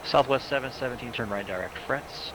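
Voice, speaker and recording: A man's voice: medium pitch, brisk. A man working as an air traffic controller, giving clipped instructions in radio phraseology over a radio link. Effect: radio transmission. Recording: noisy radio channel.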